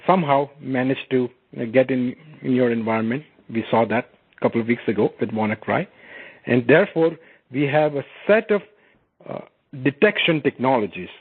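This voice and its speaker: Husky man presenting over a muffled phone line. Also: medium pitch. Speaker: security product presenter. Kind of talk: explanatory webinar product presentation. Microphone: conference call phone line.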